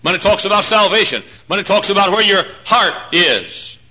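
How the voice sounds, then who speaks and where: A man in his forties preaching a folksy, humorous sermon, swinging between hushed asides and loud emphatic points. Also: high-pitched, animated, normal speed, breathy edge. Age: 40s. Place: church.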